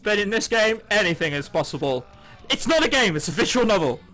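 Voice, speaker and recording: Lively high-pitched man reading a game aloud. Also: fairly quick, rough recording. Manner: animated, jokey asides. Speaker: gaming video host. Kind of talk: reading a visual novel aloud with jokey commentary. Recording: music behind the voice. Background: game background music.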